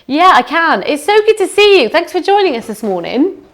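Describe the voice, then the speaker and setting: A woman presents a live festival show with bubbly, upbeat energy. Her voice sits a little high for a woman, bright and friendly in tone. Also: sing-song, moderate pace. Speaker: festival host. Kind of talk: live online festival hosting.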